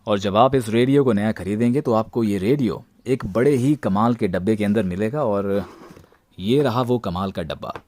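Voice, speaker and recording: A man with an Indian accent presents a gadget review in a fairly low voice. His delivery is deadpan and tongue-in-cheek. The sound is dry, with very little room in it. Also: normal speed, no room sound.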